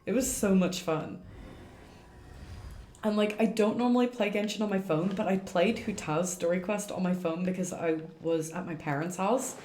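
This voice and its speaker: A woman's voice: medium pitch, moderate pace, darkish tone, a little hiss or hum. A woman streaming a game with casual, chatty commentary, thinking aloud as she plays. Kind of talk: casual live gameplay commentary. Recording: roomy echo, game music behind. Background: game music.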